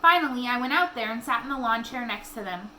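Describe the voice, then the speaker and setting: A woman reads a children's novel aloud with expression. Her voice sits high and has a bright, forward edge. There is faint noise behind her. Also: expressive, quick pace, poor audio. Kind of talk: expressive read-aloud storytelling.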